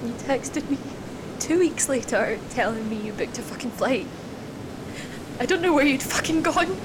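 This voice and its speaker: An actress plays a hurt, exasperated woman confronting her partner. Her voice is high, and she answers in curt, blunt, sweary phrases. A clear surf-like rumble sits behind the naturalistic audio drama performance. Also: animated. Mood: hurt, angry. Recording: rushing surf-like rumble noise.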